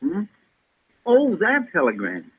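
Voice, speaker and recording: A man's voice: high-pitched, huge pitch swings, takes it slowly, exaggerated voice. An actor playing an obedient, folksy marshal's deputy in a radio western, deferential to his boss. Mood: dutiful, folksy. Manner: deferential, folksy, drawling turns of phrase. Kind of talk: old-time radio western acting. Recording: noisy vintage radio broadcast recording.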